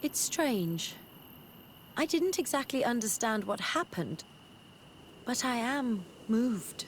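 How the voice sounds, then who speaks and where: A woman's voice: fairly high pitch, big pitch swings, slow pace, a little hiss or hum. An actress playing a principled, polite and sincere woman scholar in a video game cutscene.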